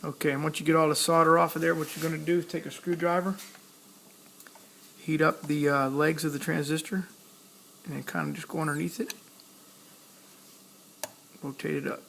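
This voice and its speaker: A man with a fairly high, gravelly voice narrates a hands-on repair demonstration. His delivery is very even and plainspoken, going step by step as he works. There is some faint noise behind him. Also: normal speed, pauses often. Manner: plainspoken, step-by-step, talking while working. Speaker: electronics hobbyist demonstrating a repair. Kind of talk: casual hands-on tutorial narration.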